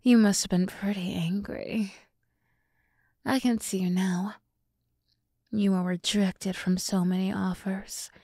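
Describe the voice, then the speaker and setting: A woman playing a sultry, teasing demoness in an ASMR roleplay, speaking in a soft half-whisper with slow, condescendingly soothing deliberation, close to the microphone. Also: medium pitch, steady tone, dry recording. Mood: mock pity. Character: sultry, teasing demoness summoned to serve a human.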